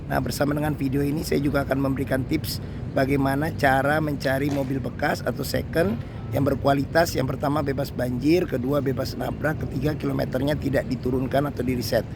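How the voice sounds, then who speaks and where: A hoarse man hosting a used-car walkaround review, talking slowly with many fillers as he moves around and inside a car at a showroom. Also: medium pitch, fairly even intonation, noisy background. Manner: slow, halting delivery with many fillers. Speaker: used-car dealer host.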